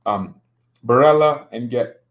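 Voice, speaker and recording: A man's voice: mid-range voice, a little slow. A young man casually streaming about football, joking around and reading out chat comments. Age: young adult.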